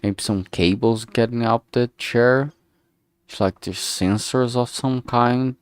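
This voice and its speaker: A man streaming as he works, thinking aloud casually in a halting way and muttering to himself. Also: mid-range voice, smooth, no room sound. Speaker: streamer making a visual novel. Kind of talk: casual think-aloud art livestream commentary.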